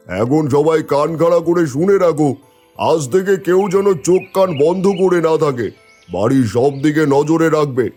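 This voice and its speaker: Man as a stern, mellow-toned cartoon landlord. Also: fairly high pitch. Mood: commanding. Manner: commanding, scolding. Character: stern, authoritative male village landlord. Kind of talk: cartoon voice acting.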